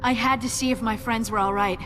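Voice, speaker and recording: A woman's voice: fairly high pitch, fairly even intonation, a little fast, heavy background noise, close to an everyday voice. A young woman playing an earnest, guarded military academy cadet in a video game. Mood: somber narrating. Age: young adult. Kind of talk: military sci-fi game cutscene acting and narration. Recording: loud music bed behind the voice.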